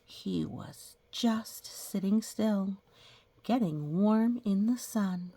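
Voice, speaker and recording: Playful, slow-paced woman storyteller. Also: medium pitch, creaky. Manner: playful, acts out sound effects and animals; explains gently to young listeners. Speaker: storyteller reading a picture book aloud. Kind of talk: children's read-aloud with casual asides.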